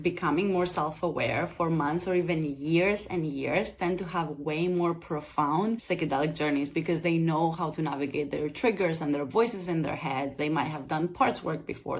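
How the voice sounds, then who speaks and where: Earnest woman, lowish voice. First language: Greek. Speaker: psychedelic preparation coach and channel host. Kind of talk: talking to camera, explanatory vlog.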